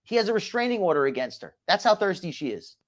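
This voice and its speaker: Male host, slight New Jersey accent, sarcastic gossip, very lively pitch. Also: quick pace. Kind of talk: mocking reaction commentary.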